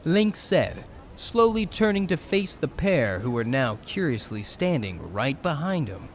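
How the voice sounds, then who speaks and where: A man's voice: a little high, sing-song, measured pace, slight background noise. A man giving dramatic scripted narration of a story.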